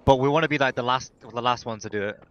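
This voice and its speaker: A man's voice: medium pitch, fast, a bit bright, dry recording, highs cut off. A man on an esports team giving callouts over voice chat, repeating his phrases insistently. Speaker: esports player, teammate.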